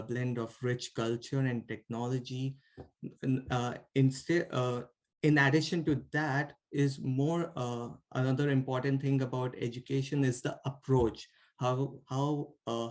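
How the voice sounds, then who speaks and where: A man's voice: mid-range voice, steady tone, unhurried, darkish tone. A young man from India, a bachelor's student, giving an informal talk, with frequent "like" fillers and restarts. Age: young adult. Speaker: student speaker. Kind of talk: informal presentation.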